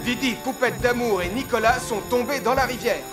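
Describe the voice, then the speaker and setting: A man voicing a calm, commanding firefighter who gives instructions in a children's cartoon dub. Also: very high-pitched, brisk. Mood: urgent, composed. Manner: decisive, instructing. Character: calm, commanding adult male firefighter leading his rescue team. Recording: loud music under the voice.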